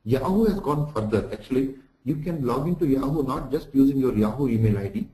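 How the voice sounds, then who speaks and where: A man's voice: mid-range voice, animated, brisk, warm tone. A man lecturing through slides for an online lesson, explaining didactically with examples and recaps. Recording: echoey room sound.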